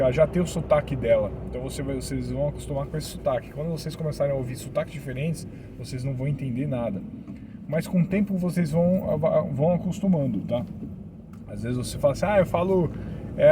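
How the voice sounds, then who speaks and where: A Brazilian man with a Brazilian Portuguese accent giving casual, chatty advice to viewers, often tagging on little questions. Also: on the high side. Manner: chatty, repeats words, tag questions. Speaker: vlogger living abroad. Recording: distant mic, loud traffic noise. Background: cars and street noise.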